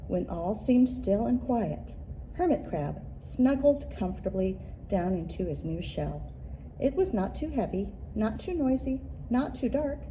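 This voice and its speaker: A woman reads a children's picture book aloud in an expressive, deliberate storytelling manner, leaning into rhythmic phrases. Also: medium pitch, a little hiss or hum, low-quality recording. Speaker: children's librarian and story reader.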